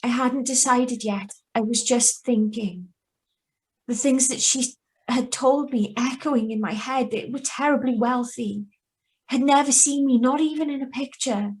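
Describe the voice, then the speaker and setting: A woman's voice: on the high side, a little slow, lightly acted. A woman playing a troubled, hesitant young woman who survived an accident, in a radio drama read over a video call. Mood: brooding, reflective. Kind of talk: radio drama acting with first-person narration. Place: remote, on a Zoom video call. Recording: live video call reading.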